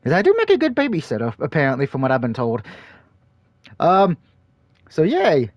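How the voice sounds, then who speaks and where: Male streamer, crude jokey banter, lively swinging voice, dry close-mic sound. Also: fairly high pitch, normal speed, no echo. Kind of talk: casual let's-play commentary and reading aloud.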